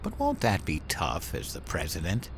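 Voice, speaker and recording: An actor playing a dim, eager, boyish new president in a comedic audio drama. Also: male voice, low-pitched, huge pitch swings, moderate pace, creaky, a bit bright, slight background noise, full character voice. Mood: naive curiosity. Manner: naive, boyish, easily delighted. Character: childlike, dim, eager newly elected male US president.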